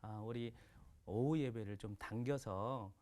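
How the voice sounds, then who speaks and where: Chatty pastor guest, steady voice. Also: male voice, medium pitch, unhurried. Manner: chatty, laughs often, frequent fillers. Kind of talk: relaxed talk-show storytelling. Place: broadcast studio.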